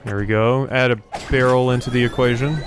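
A man's voice: mid-range voice, steady tone, brisk. A man giving casual let's play commentary, thinking aloud as he plays. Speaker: gaming video host. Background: game music.

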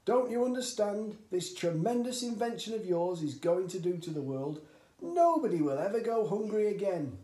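A man's voice: high voice, very animated, mellow, pitched above the performer's own voice, full character voice. A man voicing an overexcited middle-aged father who bellows and gushes over his schemes. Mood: excited. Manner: bellowing, gushing, drawn-out exclamations. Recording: roomy echoey home recording.

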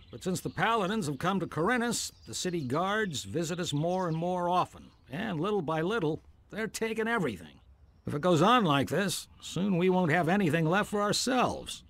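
An actor playing a suspicious, aggrieved farmer in a fantasy video game, grumbling and blunt. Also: male voice, high voice, a bit bright, exaggerated voice. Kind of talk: video game dialogue acting.

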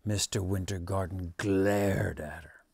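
A man in his sixties narrates a picture book expressively. His voice sits a little low and moves slowly and deliberately, with dramatic emphasis. The sound is dry. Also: fairly even intonation, vocal fry, darkish tone, slightly rough, dry recording. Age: 60s. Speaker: storybook reader. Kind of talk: expressive children's story narration. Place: living room at home, on a sofa.

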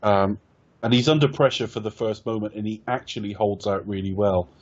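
A man's voice: lowish voice, steady tone, brisk. A man from Ireland hosting a casual film review podcast, rambling and wry, often correcting himself. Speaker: podcast host. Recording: dull lo-fi podcast audio.

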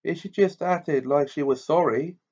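A man's voice: fairly high pitch, moderate pace, darkish tone, voice pushed up. A man voicing a sulky, stubborn teenage boy who talks bluntly and resentfully, on a muffled recording.

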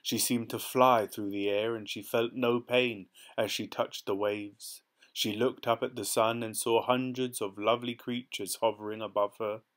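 A man calmly reading a fairy tale aloud from a storybook. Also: on the low side, normal speed. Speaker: storybook reader. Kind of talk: gentle read-aloud storytelling.

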